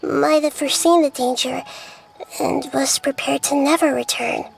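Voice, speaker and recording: A woman voicing a gentle, wise, childlike little goddess in a video game, speaking in a measured, thoughtful way. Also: high voice, steady tone, gravelly. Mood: sombre. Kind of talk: video game cutscene voice acting.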